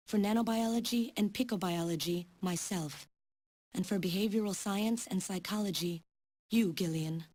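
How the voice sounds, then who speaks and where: An actress plays a woman scientist in a dramatic video game, grave and full of sorrow as she lays out a dark history. Her voice sits at an ordinary womanly pitch, with prominent music playing underneath. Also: breathy edge, lightly acted.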